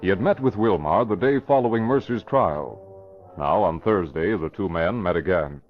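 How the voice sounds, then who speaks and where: A man's voice: low voice, expressive, normal speed, faint music behind. A man narrating a scripted mystery in urgent 1940s radio style. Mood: dramatic. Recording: dull vintage radio recording with crowd.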